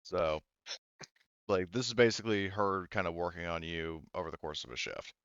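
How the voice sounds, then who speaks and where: A man running a tabletop roleplaying game as game master, narrating off the cuff and haltingly thinking aloud. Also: deep voice, fairly bright tone, clear, resonant tone, no room sound, dull audio.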